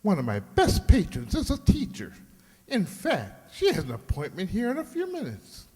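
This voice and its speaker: An actor playing an effusive, flattering beauty-salon stylist in a flowery, affected manner, in a comic radio play. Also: male voice, sits high, moderate pace, husky, exaggerated voice. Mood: confiding. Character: gushing, theatrical male hairdresser, flattering and two-faced. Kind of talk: comic old-time radio sitcom acting. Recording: echoey hall with long reverb.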